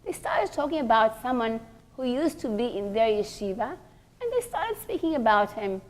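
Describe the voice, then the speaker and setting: A woman with a fairly high voice teaches a religious class. She tells stories in a deliberate lecturing manner, repeating phrases for emphasis. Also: big pitch swings, poor audio. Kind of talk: sermon-like lecture told through stories.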